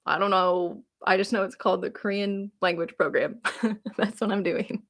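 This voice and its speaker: A woman's voice: medium pitch, steady tone, normal speed, fairly bright tone. A woman in her late twenties answering viewer comments on a live stream, chatty and rambling, with lots of "like" fillers and easy laughter. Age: 20s. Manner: laughs easily while reading comments aloud. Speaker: YouTuber answering viewer questions. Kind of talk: casual live-stream Q&A chat.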